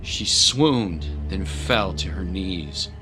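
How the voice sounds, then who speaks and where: A man's voice: low-pitched, expressive, slow. A man giving grave, literary, scripted narration for a dramatic story. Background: music bed.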